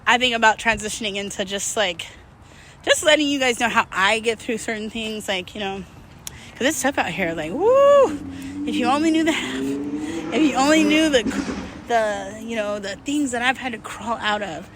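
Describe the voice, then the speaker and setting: A woman's voice: on the high side, animated, moderate pace. A woman talking candidly and casually to her followers while out walking, rambling and self-deprecating as she gives motivational encouragement. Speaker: vlogger. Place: outdoors, on a walk. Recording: outdoor background noise, urban traffic ambience.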